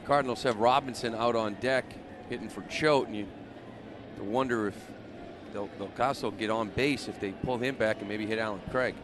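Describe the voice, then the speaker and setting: A man's voice: lowish voice, normal speed. A man giving conversational color commentary and analysis during a live baseball radio broadcast. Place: outdoor ballpark broadcast booth. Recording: loud stadium noise behind the voice.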